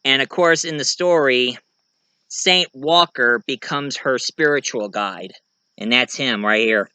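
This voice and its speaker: A man giving a casual show-and-tell of his own fiction, rambling and halting mid-sentence to correct himself. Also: mid-range voice, bright, forceful tone, clear, resonant tone, no echo. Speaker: amateur writer and online video creator.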